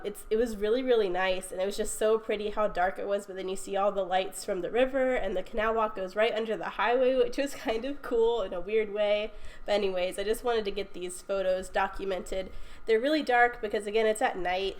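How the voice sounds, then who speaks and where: Sniffly young woman crafting voiceover. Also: medium pitch. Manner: chatty, frequent ums and little laughs. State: congested from a cold. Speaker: scrapbooking video host. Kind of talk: casual voiceover narration. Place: recorded voiceover at home.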